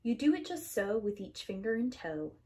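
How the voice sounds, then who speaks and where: A woman's voice: mid-range voice, very animated, moderate pace, mellow. A woman reading a rhyming children's story aloud in an emphatic, theatrical preschool-teacher manner. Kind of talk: expressive children's storybook read-aloud in rhyme.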